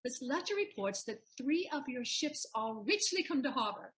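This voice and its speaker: A woman playing a proud, sharp-witted wife in a Shakespearean audio play, teasingly indignant in declamatory verse. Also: sits high, sing-song, normal speed, slight background noise. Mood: composed, revealing.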